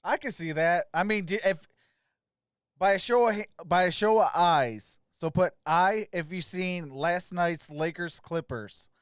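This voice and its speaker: A man's voice: high voice, measured pace, smooth, no echo, studio quality. A man hosting a sports livestream, mixing casual, friendly chat with viewers and informal play-by-play commentary. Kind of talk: casual live stream chat and game commentary.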